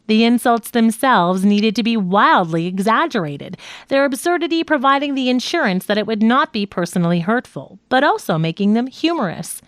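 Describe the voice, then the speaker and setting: A woman reading a scripted nonfiction audiobook as its narrator, in a measured, articulate manner. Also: medium pitch, dry recording, studio quality.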